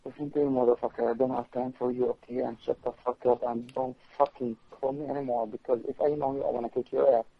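A man's voice: mid-range voice, level tone, fast talker, vocal fry, hardly any pauses. Confrontational man on a dull phone line. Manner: confrontational, heavy swearing, curt. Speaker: prank call recipient. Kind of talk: irritated phone conversation. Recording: dull, dry telephone line.

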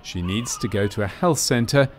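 A man with a fairly low voice narrates a training film. His delivery is scripted, measured and matter-of-fact. There is some ambient noise behind him.

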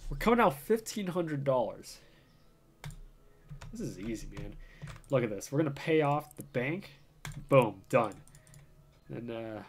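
Young male streamer, casual play-by-play, animated pitch, desk mic. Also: vocal fry, a little hiss or hum. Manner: chatty, talks to himself while playing, excited bursts. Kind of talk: casual let's-play commentary.

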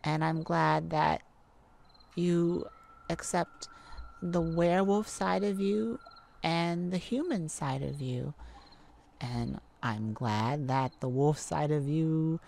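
A woman playing an excitable, affectionate mage sweetheart in a romantic ASMR roleplay, with a gushing, easily flustered, rambling manner. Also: sits low, slow, gravelly, slight background noise, full character voice. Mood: tender.

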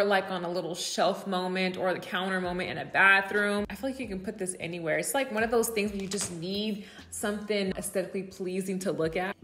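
A woman's voice: medium pitch, fairly bright tone. A woman in her thirties with an American accent, chatting casually and informally to camera as a home decor vlogger. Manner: conversational, informal, frequent "like" and "you guys". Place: room at home, indoors. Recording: roomy indoor echo.

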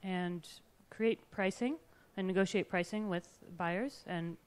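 A woman speaks on a panel in a smooth, clear voice. She is fluent and measured, with a few fillers. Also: lowish voice. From California. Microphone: handheld mic.

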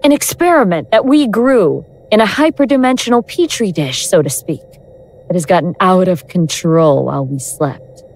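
A woman's voice: fairly low pitch, expressive, darkish tone. A woman acting in an audio drama as a mocking elder god in the guise of a young woman, teasing and condescending. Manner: slow, teasing, condescending, self-possessed.

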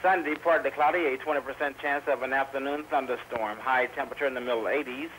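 A man's voice: mid-range voice, fairly even intonation, fast, a bit bright, low-quality recording. A man reading scripted weather bulletins as a radio announcer, recorded from 1990s weather radio onto VHS. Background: faint radio noise.

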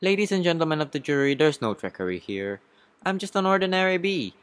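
An earnest young bee acted out by a man pleads his case in a cartoon courtroom. He is indignant and speechifying, with pointed questions and a pitch that often lifts. The sound is dry. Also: sing-song, quick pace, fairly bright tone, smooth, dry recording. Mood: earnest, pleading.

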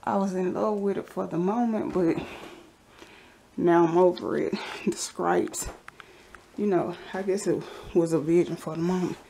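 A woman's voice: on the low side, unhurried, gravelly, long pauses, a little hiss or hum. A woman, chatting casually as she walks a handheld camera through her house, sometimes dropping into a whisper. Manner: chatty, relaxed, drops into whispers. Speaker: vlogger giving a house tour. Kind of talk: casual walkthrough narration. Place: basement and hallways of a house, indoors. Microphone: handheld camera held while walking.

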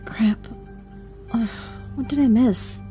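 A woman's voice: a little high, slow pace, dark tone, soft background music. A woman voicing a tough, brash teenage anime heroine with a defiant manner in a fan audio drama. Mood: groggy. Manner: feisty, defiant.

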